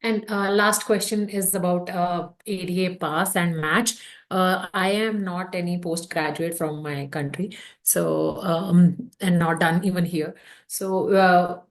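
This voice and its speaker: A woman calling in for advice, asking casual questions in a hesitant, halting way. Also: lowish voice, fairly even intonation. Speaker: caller asking for career advice.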